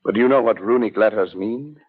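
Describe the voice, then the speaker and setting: Haunted educated man, very low voice, rough-edged, deliberate grave delivery, golden-age radio thriller, dull old recording. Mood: grave, explanatory. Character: uneasy educated bearded man narrating his own cursed ordeal. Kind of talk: 1940s radio drama acting, first-person narration. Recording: muffled vintage radio drama recording.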